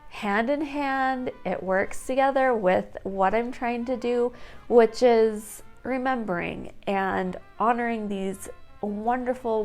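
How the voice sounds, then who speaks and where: A woman's voice: mid-range voice, vocal fry. A young woman chatting casually while she does her makeup, telling stories in an easygoing, friendly way. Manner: chatty, warm storytelling with light laughs. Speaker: vintage lifestyle vlogger. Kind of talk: casual vlog chat while doing makeup.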